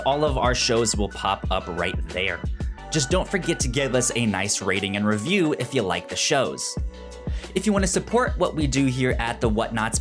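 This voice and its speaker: A man reading a scripted housekeeping promo for a podcast network as one of its hosts. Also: mid-range voice. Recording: music bed under the voice.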